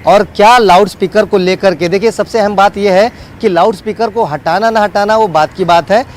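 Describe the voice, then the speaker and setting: A man's voice: sits high, normal speed. A man giving a wordy, unscripted live news report as a field reporter, often repeating set phrases.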